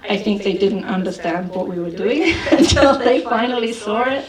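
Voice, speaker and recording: A woman's voice: medium pitch, expressive, moderate pace. A woman filmmaker answering questions at a festival Q&A, thoughtful and full of fillers and restarts. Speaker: film director. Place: festival hall with audience. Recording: roomy hall, far from the mic, crowd noise.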